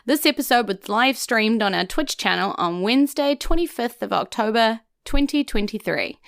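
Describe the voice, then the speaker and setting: An excitable, playful woman with a New Zealand accent, hosting and narrating a tabletop game podcast in a theatrical, chatty way. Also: on the high side, normal speed, vocal fry. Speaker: podcast host and game master.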